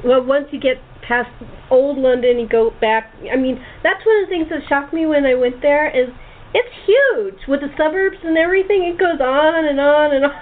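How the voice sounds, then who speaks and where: A woman's voice: sits high, normal speed. A chatty woman hosting a casual group interview over an online call. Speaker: host and playwright. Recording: thin phone-like call with background noise.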